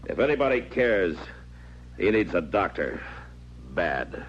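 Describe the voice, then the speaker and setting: Very deep-voiced stern frontier marshal. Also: male voice, sing-song, measured pace, heavily acted. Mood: grim anger. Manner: firm, plainspoken, commanding. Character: stern, steady male frontier marshal with a protective streak. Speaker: radio drama lead actor. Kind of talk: old-time radio western acting. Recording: dull, noisy vintage radio recording, hum.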